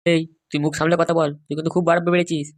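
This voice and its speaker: A woman's voice: sits low, flat delivery, racing. A woman voicing a protective, stern middle-aged father in a comic cartoon, speaking in an admonishing way.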